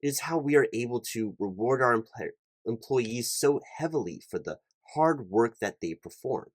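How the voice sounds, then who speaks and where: A man playing an earnest, slightly flustered recruiting manager in a one-sided job interview roleplay, speaking in halting, stammering corporate talk. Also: medium pitch, lively intonation, dry recording.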